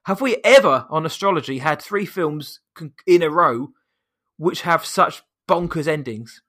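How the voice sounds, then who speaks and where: A man with a high, squeaky voice co-hosts a casual film podcast. His tone is bright and forceful, and he rattles through names and box-office figures in a chatty way, poking fun at himself as he goes. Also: lively intonation, a little slow. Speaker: podcast co-host.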